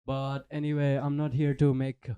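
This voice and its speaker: Flat, mellow young host, man. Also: medium pitch, normal speed, clear, resonant tone, lo-fi sound. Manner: rambling, upbeat. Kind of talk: casual interview hosting. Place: bakery.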